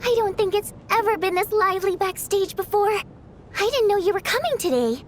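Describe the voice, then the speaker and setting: A woman voicing an eager young woman in a game, chatty and excitable. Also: extremely high pitch, normal speed, slight background noise. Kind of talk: game voice acting.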